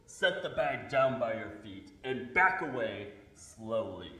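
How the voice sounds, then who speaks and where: Man acting slow, scheming radio villain. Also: mid-range voice, very animated, bright, forceful tone, roomy sound, far from the mic, heavily acted. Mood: menacing. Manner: theatrical, deliberate, menacing. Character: Dark Shadow, smug scheming invisible villain, extorting the city. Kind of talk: 1930s radio drama acting.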